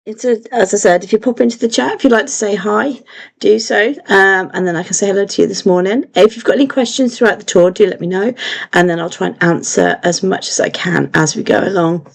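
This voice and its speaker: A woman's voice: mid-range voice, brisk, creaky. A woman hosting a live online history lesson, chatty with many fillers and self-corrections, her voice a little throaty today. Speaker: online history tour host.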